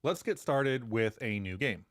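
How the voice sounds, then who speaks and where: A man presenting a software tutorial in a conversational narrating style. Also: medium pitch, lively intonation, a little slow, dry recording, studio quality.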